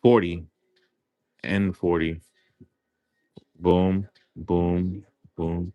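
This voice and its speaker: A man's voice: low voice, little pitch movement, drawn-out words, no echo, lo-fi sound. A man in his thirties hosting a casual livestream, joking and rambling in slangy talk. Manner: joking, rambling, often says "you know what I'm saying". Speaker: livestream host.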